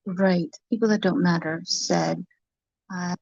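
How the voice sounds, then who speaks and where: Woman, husky and unhurried, casually defending herself, muffled lo-fi sound. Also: fairly low pitch, steady tone, a little mellow, dry recording.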